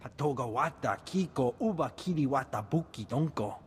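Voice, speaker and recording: Male alien played by a man, invented language, businesslike game dialogue, medium pitch, long reverb. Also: expressive, moderate pace, a little hiss or hum, close to an everyday voice.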